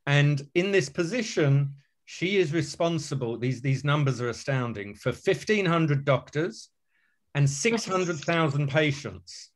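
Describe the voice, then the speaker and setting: A man's voice: on the high side, expressive, unhurried. A man introduces a guest speaker at an online webinar, speaking hesitantly with many ums and repeated words. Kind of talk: formal introduction. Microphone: video call.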